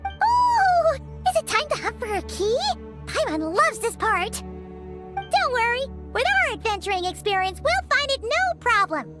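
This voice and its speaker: A woman's voice: very high-pitched, sing-song, unhurried, exaggerated voice. A woman voicing a small floating female companion creature in a game, eager and talking about herself in the third person. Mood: excited. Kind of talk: game cutscene voice acting. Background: game music.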